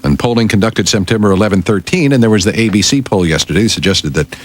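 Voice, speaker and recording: An American man works as a radio newsman and sidekick. His voice is low and moves animatedly in pitch. He slips from reading headlines into wry, agreeable banter. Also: lively intonation, fast, slight background noise. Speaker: radio news anchor and sidekick. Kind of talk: news reading mixed with banter. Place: radio studio.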